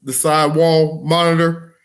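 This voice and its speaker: A man's voice: fairly high pitch, monotone, a little slow. A man co-hosting a podcast, chatting and telling stories in a slangy, jokey way with some swearing. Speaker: podcast co-host. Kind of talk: casual chat, storytelling.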